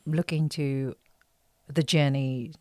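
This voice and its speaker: A woman speaking as an interview guest on a podcast, halting and thoughtful, with many pauses. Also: a bass voice, steady tone, mellow, no echo, clean studio sound. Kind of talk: conversational interview.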